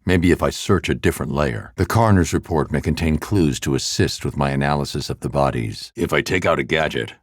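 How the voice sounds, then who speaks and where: A man voicing a grim, brooding male vigilante detective in a video game, speaking in a low, grave, clipped and methodical way. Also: brisk, hardly any pauses, no room sound, clean studio sound. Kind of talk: game voice acting. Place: recording studio. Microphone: close studio mic.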